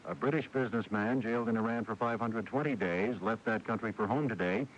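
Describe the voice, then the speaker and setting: A man's voice: lowish voice, fairly quick. An American man reading a scripted network radio news bulletin as anchor. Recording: dull, hissy 1980s radio broadcast recording.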